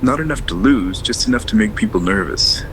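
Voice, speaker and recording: Casting: male actor as quiet reflective caller, very low voice, clear background noise on the line. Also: expressive, fairly quick, low-quality recording. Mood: subdued. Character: subdued man caller, former horse-barn worker.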